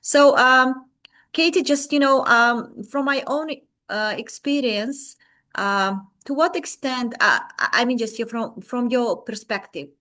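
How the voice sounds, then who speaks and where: A woman's voice: a little high, unhurried, sharp tone. A woman taking part in a webinar who asks a question in a hesitant way, full of fillers, over a video call. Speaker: webinar participant asking a question.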